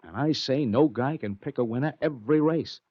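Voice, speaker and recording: A man's voice: mid-range voice, fairly quick, dry recording, natural voice. A male American actor playing a worried, plain-spoken betting-office boss in 1940s radio drama, slangy and emphatic. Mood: frustrated. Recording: dull, dry old radio recording.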